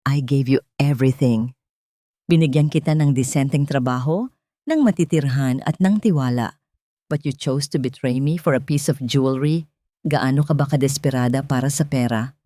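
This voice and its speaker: A woman's voice: extremely low pitch, normal speed, dark tone, dry recording, voice pushed down. Woman doing a stern rich guy in an audio drama, cold n bitter, cutting words, dry close sound. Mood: bitter fury. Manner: stern, cutting, switches between Tagalog and English. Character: cold, angry, disappointed rich man with baritone.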